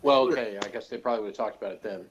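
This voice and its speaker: A man's voice: on the low side, rapid-fire, a little hiss or hum. A man with an American accent chatting casually and ramblingly as a panelist. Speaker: podcast panelist. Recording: dull lo-fi audio.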